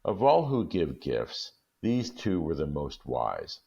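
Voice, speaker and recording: A man narrating a story aloud, expressive and lively in pitch. His voice sits very low with a gravelly texture. The recording is dull and lo-fi. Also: slow. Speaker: story reader.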